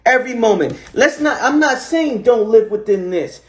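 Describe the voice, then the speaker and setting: Animated man talking on a phone live. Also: very high-pitched, normal speed. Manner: animated, repeats himself, swears casually. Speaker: host of an Instagram live. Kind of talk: casual motivational talk.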